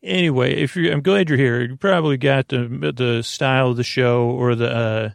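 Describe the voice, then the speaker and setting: Creaky-voiced man rambling at bedtime. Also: mid-range voice, steady tone, no echo, clean studio sound. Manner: meandering, halting, trails off mid-word; silly, goofy and friendly. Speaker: podcast host. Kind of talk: rambling, unscripted bedtime monologue.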